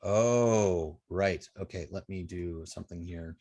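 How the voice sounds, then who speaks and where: A man's voice: deep voice, slow, dark tone, no echo. A man teaching an informal hands-on coding lesson, thinking aloud hesitantly and trailing off mid-sentence. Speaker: web design instructor.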